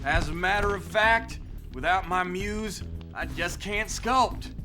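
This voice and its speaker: A man voicing a laid-back, dreamy sculptor in a video game cutscene, drawling in a mellow, spacey way. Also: sits high, slow pace, over music. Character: laid-back male sculptor, dreamy surfer-dude type.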